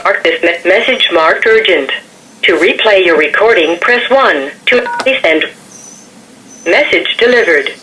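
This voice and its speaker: Bright automated woman on a noisy phone line. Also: lowish voice, animated, a little slow. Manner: clipped, impersonal, segmented phrasing. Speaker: automated voicemail system. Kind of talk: recorded menu prompts. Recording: noisy telephone line, heard through phone.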